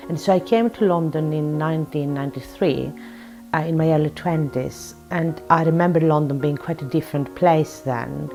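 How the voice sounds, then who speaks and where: Woman from Greece, forties, deep voice, reflective, hesitant fillers, soft music underneath. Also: normal speed. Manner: reflective, thoughtful, with frequent ums and uhs. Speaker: author and psychotherapist. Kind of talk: interview answers. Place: her office.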